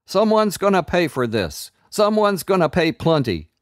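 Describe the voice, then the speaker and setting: A man putting on a tyrannical fire wizard from a storybook, his voice jumping high into shrieks and sinister gloating. The delivery swings widely in pitch and the sound is close and dry. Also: sing-song, normal speed, no echo. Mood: furious. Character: tyrannical fire wizard, tall male enchanter, raging.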